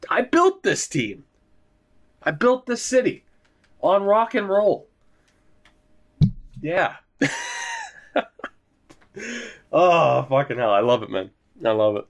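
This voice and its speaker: A man's voice: a little high, huge pitch swings, takes it slowly, pauses often, fairly bright tone. A young man giving casual, rambling commentary over a hockey video game, swearing often and joking at his own expense. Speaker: gaming YouTuber.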